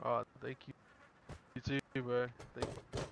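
A man's voice: mid-range voice, little pitch movement, a little slow, vocal fry, a bit bright. A man from New Zealand chatting casually and informally as a player in a game's voice chat. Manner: informal, full of dude, man and bro. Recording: very noisy game voice chat, clicks.

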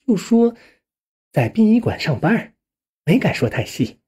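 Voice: medium pitch, sing-song, unhurried, dark tone, husky, pitched above the performer's own voice, full character voice. A narrator voicing a bossy but doting middle-aged aunt in an audio story, nagging and coaxing. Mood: cautious.

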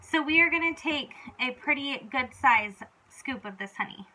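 A woman's voice: high voice, expressive, normal speed, pushed and bright, low-quality recording. A woman in her thirties with a North American accent, chatting through a recipe to camera in an upbeat, friendly way. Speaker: vlog host. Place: small wood-panelled living room.

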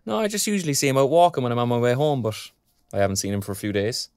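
A man's voice: mid-range voice, sing-song, racing, a little mellow, smooth, no room sound, studio quality. Friendly young Irish man. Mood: chatty. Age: young adult. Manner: colloquial, friendly, concerned. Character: kindly, chatty young job-seeking graduate. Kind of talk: naturalistic audio drama acting.

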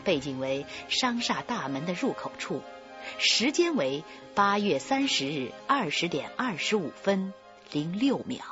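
A woman narrates a radio crime drama in a low voice. Her scripted delivery is expressive, with wide pitch movement, over faint music in slightly dull sound. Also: measured pace.

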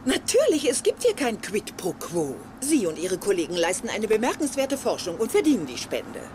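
A self-assured older lady, expressive. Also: mid-range voice, moderate pace, background noise. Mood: gracious. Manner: polite, sly, formal. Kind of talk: German sitcom dub.

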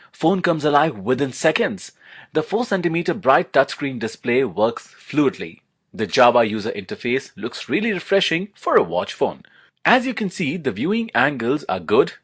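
A man's voice: mid-range voice, very animated, moderate pace, slightly rough. A man narrating a product unboxing and demo as a reviewer, in an enthusiastic, promotional manner.

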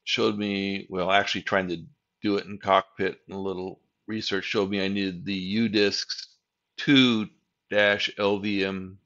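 Low-voiced older man, methodical tech walkthrough. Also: level tone, measured pace, fairly bright tone, lo-fi sound. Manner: plain step-by-step explaining, methodical. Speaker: tech tutorial host. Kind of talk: screen-recorded software tutorial narration.

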